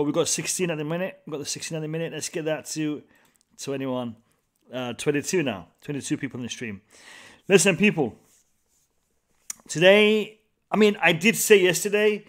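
A man, hosting a casual live stream from a small home room into a studio mic, upbeat and greeting viewers by name over and over. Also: on the high side. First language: Arabic. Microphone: large studio mic on a boom, headphones.

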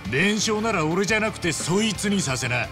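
Rough, animated, earnest tough-guy hero. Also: high voice, normal speed, over music. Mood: defiant, protective. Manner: rough, blunt street speech. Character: big-hearted, tough ex-yakuza hero, loyal and earnest. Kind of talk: Japanese video game voice acting.

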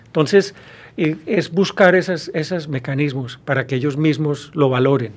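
A man in his fifties with a Colombian Spanish accent, a university professor answering interview questions, pausing often with hesitations and restarts, recorded on a studio mic. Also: on the high side, fairly even intonation. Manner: thoughtful, many hesitations and restarts. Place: small studio with blue backdrop. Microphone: studio mic on boom arm.

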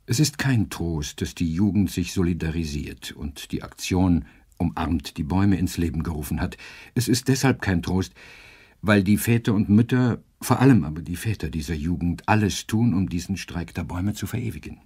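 An actor playing a grave, indignant professor giving a solemn, rhetorical commentary in a radio drama. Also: male voice, sits low, moderate pace, darkish tone, slightly rough.

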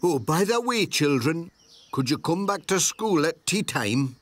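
A man with a British accent playing a kindly, polite village schoolteacher in a children's cartoon, courteous and gently upbeat. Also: on the high side, very animated, unhurried. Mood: friendly.

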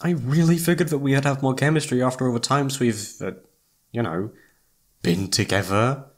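A man's voice: medium pitch, normal speed, lightly acted. A man acting as an informal, emotive young best friend who is secretly in love, in a one-sided roleplay audio drama. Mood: frank, mildly defensive. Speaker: voice actor.

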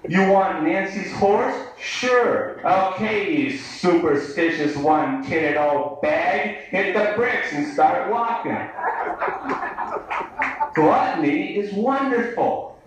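A man's voice: high voice, lively intonation, slow pace, background noise. Echoey, sardonic American male poet reading live. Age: 30s. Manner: sardonic, declamatory. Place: indoor bar venue, live reading. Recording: echoey live bar recording, distant mic.

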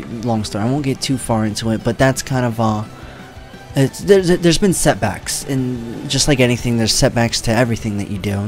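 A young man in his twenties talking casually to camera from a home streaming setup, his voice a little worn and his talk loose and rambling with frequent swearing. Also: medium pitch. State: voice weakened from treatment. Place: home streaming room, indoors.

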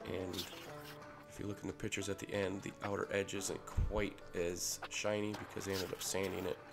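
A man with a head cold, casually talking through a workshop ring build in a relaxed way with fillers. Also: deep voice, normal speed. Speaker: maker showing a ring build. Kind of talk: casual workshop tutorial voice-over. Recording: voice-over with clear music behind.

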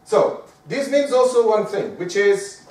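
A man from Portugal with a Portuguese accent, whose first language is Portuguese, giving a lively lecture on presenting and often asking the audience rhetorical questions. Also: high-pitched, a little slow. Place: lecture room. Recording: echoey room, speaker far from the mic.

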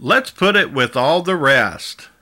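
A man's voice: a little high, lively intonation, takes it slowly, sharp tone, full character voice. A man reading the part of a cheerful, helpful big dinosaur in a children's storybook, encouraging and sing-song.

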